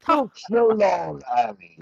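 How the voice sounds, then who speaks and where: A man playing a pompous, food-loving fantasy game character, with a gravelly voice and a deliberate manner. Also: high-pitched, wildly swooping pitch, no room sound, lo-fi sound, heavily acted. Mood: self-important.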